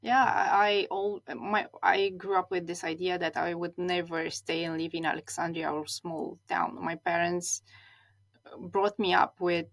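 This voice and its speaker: A woman's voice: on the low side, level tone, unhurried, vocal fry. A woman in her thirties from Romania, a non-native speaker whose first language is Romanian, talking reflectively as an interview guest with frequent restarts and repeated words. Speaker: guest, stylist.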